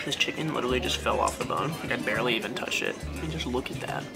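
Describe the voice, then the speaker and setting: A man's voice: medium pitch, very fast, gravelly, loud music behind the voice. A young man co-hosting a travel food vlog, easygoing and enthusiastic, talking to a handheld camera in a car and a small restaurant. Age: young adult. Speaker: travel vlogger co-host. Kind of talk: casual vlog chat.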